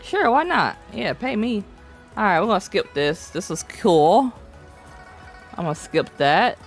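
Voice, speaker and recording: A woman's voice: medium pitch, very animated, measured pace, soft background music. A young woman streaming a game, chatting casually and reading chat aloud in slangy, playful talk. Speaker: VTuber streamer. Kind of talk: casual live-stream chat while gaming.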